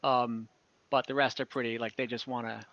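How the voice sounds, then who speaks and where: A game master narrating an online tabletop game casually, in a halting, improvised way. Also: medium pitch, flat delivery, a bit bright, no room sound. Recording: dull, dry online call audio.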